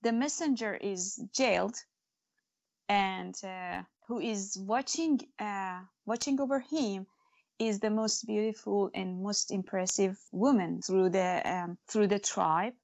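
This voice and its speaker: A woman speaking English as a second language, an author talking earnestly about faith and her stories in an interview, with many restarts and fillers. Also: medium pitch. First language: not English. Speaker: author and guest. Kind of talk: spiritual interview talk.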